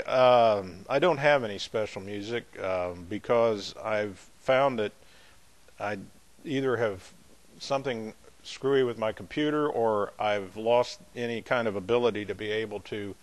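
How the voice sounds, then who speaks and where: A man leading a Bible study as pastor, chatting in a folksy, easygoing way between readings. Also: on the low side. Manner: folksy, chatty asides with light laughs; measured, emphatic scripture reading.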